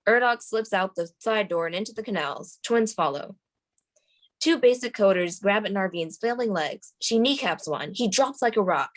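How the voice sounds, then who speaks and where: A woman's voice: medium pitch, animated, moderate pace. A woman reading screenplay stage directions as the narrator at a table read.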